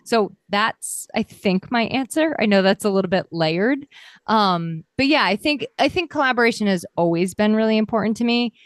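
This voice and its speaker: A woman's voice: medium pitch, lively intonation, moderate pace, no echo, studio quality. A middle-aged woman interviewed as a guest, talking in a chatty, reflective way, with fillers and frequent laughs. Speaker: guest, copywriter. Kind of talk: conversational interview.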